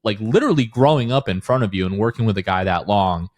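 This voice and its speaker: A man's voice: on the low side, lively intonation, quick pace, a little mellow, no room sound. An American man hosting a podcast, chatting casually about sports. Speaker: podcast host.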